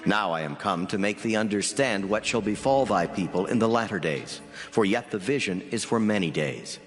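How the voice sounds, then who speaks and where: A man playing a solemn heavenly messenger in dramatized scripture, delivering prophecy in a measured, declamatory way. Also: on the low side, expressive, natural voice. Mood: solemn, reassuring. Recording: long hall reverb under clear music. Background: music.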